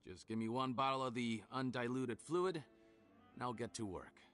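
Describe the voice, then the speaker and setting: Man acting as scheming male convict, bright forceful voice, cynical, condescending, video game villain, faint music behind. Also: mid-range voice, moderate pace, close to an everyday voice. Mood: calculating. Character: cynical, self-serving scheming escaped convict man with disfigured face.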